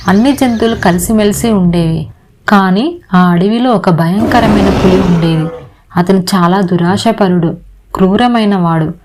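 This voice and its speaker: A woman's voice: medium pitch, a little mellow. A woman narrator reading a scripted moral story for children. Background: music.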